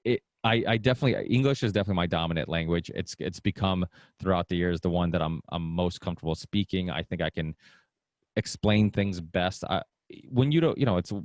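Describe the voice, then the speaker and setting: A very low-voiced middle-aged man from Portugal, whose first language is Portuguese, chats casually as a podcast guest. He rambles and often restarts his sentences. The recording is close and dry, with no room sound. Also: a little mellow, no echo. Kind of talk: casual conversation.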